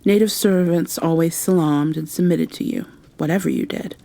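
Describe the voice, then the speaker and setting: A woman's voice: low-pitched, normal speed, creaky, warm tone, no room sound. A woman narrating a bedtime storybook in an ASMR style.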